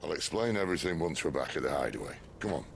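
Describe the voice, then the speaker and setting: An actor playing a worldly, gruff middle-aged outlaw leader in a video game cutscene, speaking in a dry, wry, unhurried way. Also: male voice, rumbling low, very animated, a little hiss or hum, full character voice. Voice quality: gravelly. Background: orchestral game score.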